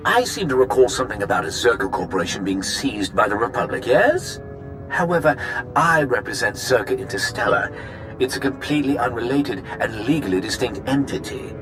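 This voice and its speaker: Smug, theatrical corporate smooth talker, acted by a man. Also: mid-range voice, expressive, a little fast, over music, natural voice. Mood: glib, businesslike. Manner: pompous, glib, theatrically teasing. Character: smug, slick male corporate executive of a sci-fi company. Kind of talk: video game cutscene acting.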